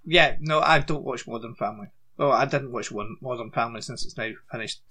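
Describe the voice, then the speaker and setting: A man's voice: medium pitch, a little fast, pushed and bright. A man, co-hosting a podcast in casual, rambling chat with a dry humour. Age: 40s. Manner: rambling, dry, laughs often. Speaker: podcast co-host.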